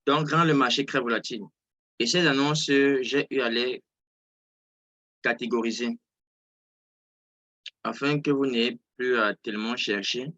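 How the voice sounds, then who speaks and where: Male online trading instructor, non-native French, bright projected tone, dry close sound, explanatory, restates key words. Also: mid-range voice, fairly even intonation, measured pace, pauses often, dry recording, lo-fi sound. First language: not French. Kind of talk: informal live online lesson, explaining. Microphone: close mic on an online video call.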